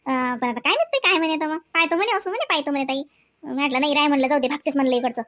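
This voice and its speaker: A woman's voice: high voice, animated, fairly bright tone, dry recording. A woman voicing chatty, homely village women in a family cartoon, with a rural Varhadi Marathi accent and a gossipy, colloquial manner. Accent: Varhadi Marathi, rural Vidarbha. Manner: gossipy, colloquial, drawn-out kinship exclamations.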